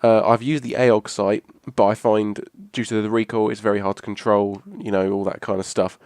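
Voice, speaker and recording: A hoarse young man, rambling casually through a video game weapon review. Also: lowish voice, flat delivery, brisk, darkish tone, no echo, studio quality. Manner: rambling, restarts a lot, casual swearing. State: hoarse from a cough. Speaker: gaming channel host. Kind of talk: casual gameplay commentary.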